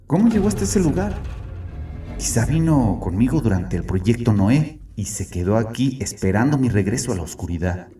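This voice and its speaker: An actor playing a haunted, penitent ex-convict in a dramatic horror monologue, brooding and theatrical. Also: male voice, medium pitch, big pitch swings, moderate pace, mellow, soft background music, natural voice. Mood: haunted, uneasy. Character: haunted, penitent male ex-convict confessing from a bunker.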